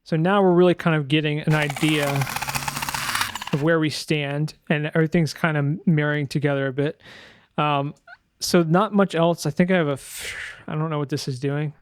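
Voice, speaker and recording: A man's voice: on the high side, fairly even intonation, normal speed, noisy background. A man giving an informal sound design tutorial, thinking aloud in a hesitant way as he demonstrates. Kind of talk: informal screen-share tutorial narration.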